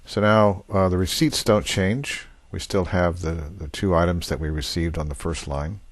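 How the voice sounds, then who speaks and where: Deep-voiced man walking through software. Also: moderate pace, slightly rough, dry recording. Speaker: software demonstrator. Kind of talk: screen-share software walkthrough, unscripted.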